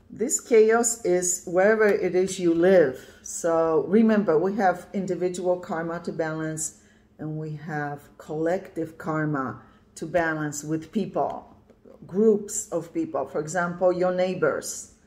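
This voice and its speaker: A woman's voice: lowish voice, darkish tone. A woman in her fifties from Poland giving an intuitive tarot reading, emphatic and repeating "yeah" and "right?" as she talks. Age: 50s. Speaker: tarot reader. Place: indoors at a card table, camera on the cards.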